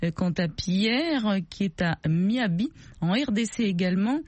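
A woman's voice: mid-range voice, lively intonation, measured pace, darkish tone, no echo. A woman hosting a call-in radio show, asking probing questions and rephrasing them when unclear.

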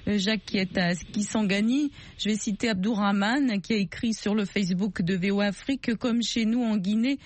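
A woman's voice: mid-range voice, brisk, hardly any pauses. A woman hosting a call-in radio show, asking probing questions and rephrasing them when unclear.

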